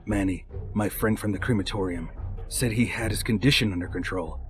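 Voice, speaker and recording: A man's voice: lowish voice, fairly quick, music in the background, close to an everyday voice. A man playing a wry camper on the run, narrating a horror story in a dry storytelling manner. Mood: tense, wry. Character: wry male camper fleeing a werewolf cousin.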